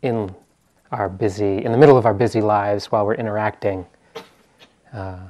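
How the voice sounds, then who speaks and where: An American man from the East Coast giving an informal meditation talk as a teacher, hesitant and often pausing to search for words. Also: fairly low pitch, fairly even intonation, a little mellow.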